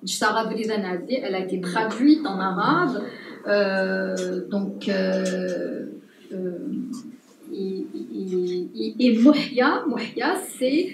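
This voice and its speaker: A woman anthropologist speaking at a public talk, pausing often with fillers and restarts. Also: medium pitch, background noise. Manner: hesitant, many fillers and restarts. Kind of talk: unscripted talk to an audience. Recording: roomy distant mic with faint ambience.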